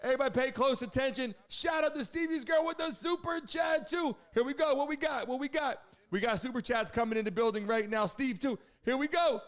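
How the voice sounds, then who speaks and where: A man hosting a live stream, bantering excitably with his chat and repeating shout-outs to viewers. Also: very high voice, brisk, hardly any pauses.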